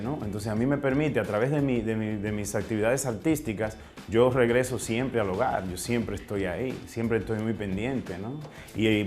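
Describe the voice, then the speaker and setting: A man's voice: mid-range voice, a little mellow. A man with a Dominican Spanish accent talking reflectively in an interview, often searching for words and ending phrases with question tags. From Dominican Republic. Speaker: writer and interview guest.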